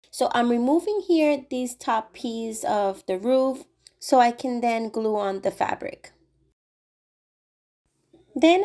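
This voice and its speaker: Chatty craft lady talking thru her diy steps, a bit stuffy from a cold, highish voice. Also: a little mellow. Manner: cheerful, chatty step-by-step explaining. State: recovering from a cold. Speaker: craft channel host. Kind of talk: voice-over craft tutorial.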